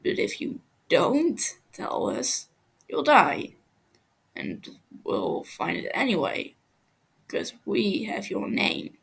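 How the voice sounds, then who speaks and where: A woman voicing a calm, menacing male interrogator in an audio story, deadpan and unhurried. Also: medium pitch, creaky, husky, exaggerated voice. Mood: calm threat.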